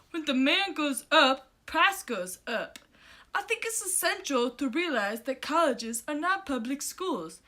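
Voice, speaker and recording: An earnest male puppet pundit, played by a woman, explains things in a scripted puppet show. The voice is very high and bright, with a lively swing. The delivery is emphatic and opinionated. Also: exaggerated voice. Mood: explanatory.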